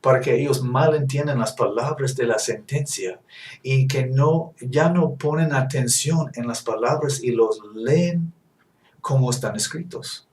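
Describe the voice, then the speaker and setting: Earnest preacher speaking Spanish as a learner. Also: male voice, mid-range voice, a little mellow. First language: English. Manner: earnest, halting with self-corrections. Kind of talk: teaching sermon. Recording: echoey room, distant mic.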